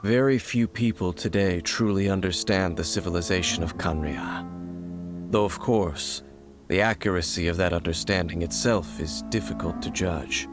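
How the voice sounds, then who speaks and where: A grave scholar explains ancient secrets in a calm, measured, low voice. He speaks steadily and without hurry. Game music plays clearly behind him. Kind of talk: game voice acting.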